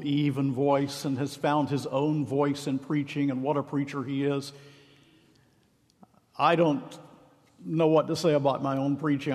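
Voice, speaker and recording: A minister preaching a sermon, earnest and emphatic, stretching key phrases slowly. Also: male voice, on the high side, darkish tone. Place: church sanctuary. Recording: long reverb of a large hall.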